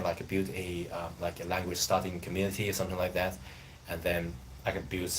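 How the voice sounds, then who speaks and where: Man, 30s, native Chinese speaker, deep voice, loose rambling live-stream host, faint room hum. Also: poor audio. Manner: rambling, hesitant, loose phrasing. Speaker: language teacher live-streaming. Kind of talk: casual live-stream chat.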